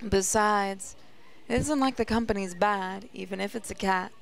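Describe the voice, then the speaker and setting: A woman's voice: mid-range voice, normal speed, gravelly, a little hiss or hum. A woman reading visual novel narration aloud in a reflective, storytelling way. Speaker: gaming channel host, reader.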